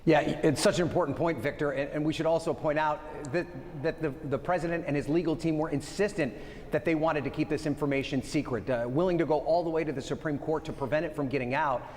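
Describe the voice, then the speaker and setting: Man, live news reporter, fairly high pitch, explanatory, slightly halting, long room reverb. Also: fairly even intonation, darkish tone, a little hiss or hum.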